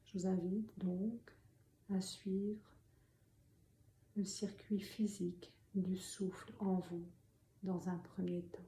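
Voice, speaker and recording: A woman meditation teacher guiding a sitting meditation in a calm, soft, breathy voice that often nears a whisper, giving gentle instructions with long pauses. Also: mid-range voice. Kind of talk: guided meditation. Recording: roomy echo, faint background noise.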